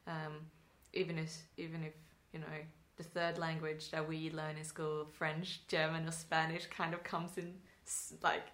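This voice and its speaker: A woman's voice: deep voice, monotone, a little slow, few pauses, fairly bright tone, echoey room. A young Swedish woman acting student with a Swedish accent, talking casually and thoughtfully in an interview, with restarts and laughing asides. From Sweden.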